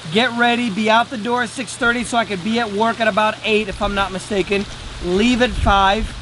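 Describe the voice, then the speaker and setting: High-pitched man over loud background noise. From Venezuela. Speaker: day trader and trading teacher. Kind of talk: casual motivational talk to camera.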